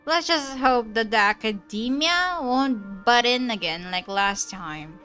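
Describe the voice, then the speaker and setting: A woman's voice: on the high side, animated, slow, sharp tone, faint music behind. A woman giving casual live commentary as she streams a game.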